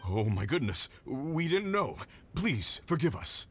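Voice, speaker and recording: An actor voicing a blustering, easily cowed castle guard in a video game. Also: male voice, fairly high pitch, big pitch swings, measured pace, heavy background noise. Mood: apologetic. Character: blustering castle guard who turns meek. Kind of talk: anime-style video game dialogue.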